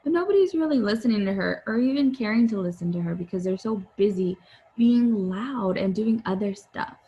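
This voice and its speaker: A woman teacher reading a picture book aloud to young viewers, with deliberate, expressive phrasing. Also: medium pitch, lo-fi sound. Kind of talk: children's read-aloud, addressing young viewers.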